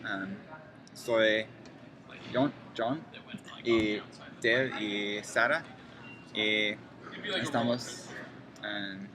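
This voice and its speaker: A man introducing an interview and asking questions in slow, halting speech. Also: fairly low pitch, crowd noise. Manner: very slow, halting, non-native phrasing. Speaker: student interviewer. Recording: noisy, from off-mic.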